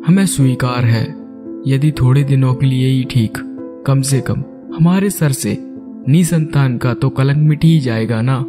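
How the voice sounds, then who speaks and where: A man's voice: medium pitch, measured pace, natural voice. An ageing king from a cartoon fable, acted by a man, formal and courteous with a worried dignity. His mid-range voice sits over prominent instrumental music. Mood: courteous, composed. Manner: formal, stately storybook delivery. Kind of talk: cartoon moral-story voice acting. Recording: music under the voice.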